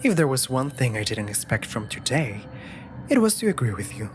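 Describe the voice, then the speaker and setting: A wry raven familiar played by a man in a fantasy audio drama. The delivery swings freely in pitch, playful and easily offended in a theatrical way. Music plays clearly behind the voice. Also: big pitch swings, moderate pace, close to an everyday voice. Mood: teasing. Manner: playful, sardonic, theatrically indignant. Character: teasing, dramatic male raven familiar of a mage. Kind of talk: scripted audio roleplay acting.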